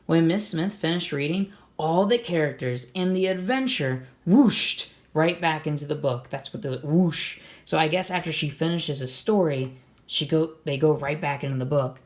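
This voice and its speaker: An expressive storybook reader doing an animated read-aloud for children, explaining and asking questions along the way. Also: mid-range voice, moderate pace.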